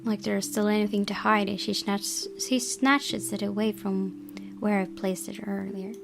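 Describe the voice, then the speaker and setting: A woman's voice: mid-range voice, level tone, moderate pace, vocal fry. A woman reading a visual novel aloud as a gaming host, dramatic in delivery and sometimes stumbling, over guitar music. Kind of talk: expressive read-aloud narration of visual novel.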